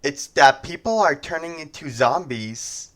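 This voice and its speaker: A man's voice: on the high side, expressive, measured pace, a bit bright. A man playing a skeptical medical researcher in an amateur zombie outbreak audio drama. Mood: skeptical, concerned.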